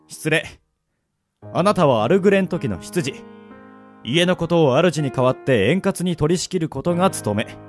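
Man acting an easygoing middle-aged swordsman, very animated delivery. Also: on the high side, a little slow, pauses often, soft background music. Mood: composed, courteous. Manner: switches between smooth polite formality and rough casual drawl. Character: easygoing middle-aged male swordsman mentor, courteous in public, gruff in private. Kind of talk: anime-style radio drama acting.

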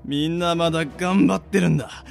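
A man's voice: fairly high pitch, fairly even intonation, normal speed, gravelly. A man playing a firm, bossy young man who urges his friends on in a comedy radio drama. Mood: stern. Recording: background music under the voice.

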